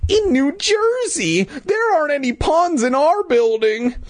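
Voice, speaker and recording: A man voicing an excitable, nervous child in a storybook, with a whiny, exaggerated delivery. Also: extremely high pitch, unhurried, pitched above the performer's own voice, exaggerated voice. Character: excitable squeaky child narrator, nervous and demanding.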